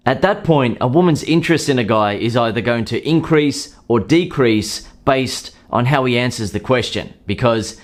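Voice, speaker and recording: A man calmly explaining dating advice in a scripted monologue, acting out short example lines now and then. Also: medium pitch, normal speed, hardly any pauses. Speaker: dating coach.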